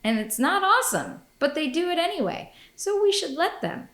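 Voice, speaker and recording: A woman's voice: extremely high pitch, sing-song, normal speed, fairly bright tone. A woman hosting a podcast in casual chat, blunt and profane, laughing often. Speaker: podcast host. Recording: echoey room.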